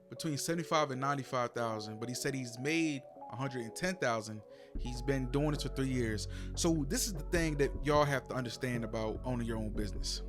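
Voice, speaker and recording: A man hosting a reaction video, explaining his views in a casual, lecturing way and often repeating himself. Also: mid-range voice. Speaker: commentary host reacting to a clip.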